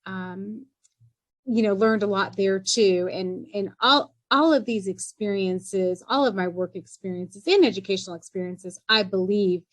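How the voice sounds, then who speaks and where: A middle-aged woman talks in a podcast interview in a voice of middle pitch. She speaks in an earnest, measured way and often pauses on fillers. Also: steady tone. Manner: measured and earnest, with frequent "you know" and "um" fillers. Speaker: entrepreneur guest.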